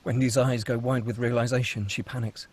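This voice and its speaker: Husky man reading stage directions. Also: medium pitch, brisk. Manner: steady, matter-of-fact reading. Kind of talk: table read narration.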